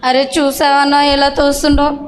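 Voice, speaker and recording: A woman's voice: sits high, monotone, moderate pace, close to an everyday voice. A woman voicing a little girl puppet who pleads and tattles on her brother in a children's church show. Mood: complaining. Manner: pleading, complaining, repeating herself. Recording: noisy, reverberant hall sound.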